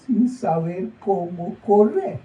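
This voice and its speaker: An actor telling a fable and greeting the audience like a show host, slow and measured. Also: male voice, high voice, lively intonation, dark tone, dull audio. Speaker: stage actor and narrator. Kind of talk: storytelling and mock show hosting. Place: small theater with a live audience. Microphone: far camera. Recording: echoey room, far camera, faint noise.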